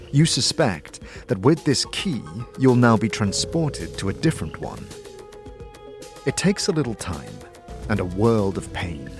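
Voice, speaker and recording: A man delivers dramatic scripted narration in the style of a game master. His voice sits fairly low and his storytelling is measured and suspenseful. Soft music runs behind him.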